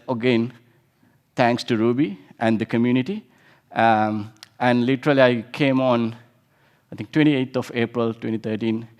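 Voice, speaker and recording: Sri Lankan man giving a conference talk. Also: mid-range voice. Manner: informal, with frequent restarts and fillers. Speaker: conference speaker.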